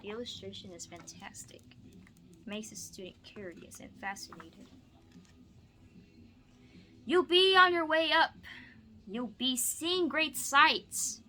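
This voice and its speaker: A woman teaching through a picture-book read-aloud, moving between expressive storybook reading and casual explanatory asides. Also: fairly high pitch, slow pace, pauses often.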